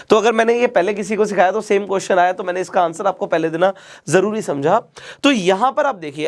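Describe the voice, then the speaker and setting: A man from India with a sore, slightly hoarse throat, teaching a lesson and talking straight to his viewers, slipping English phrases into his Hindi. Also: sits high. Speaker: trading teacher. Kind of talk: explaining lesson to camera.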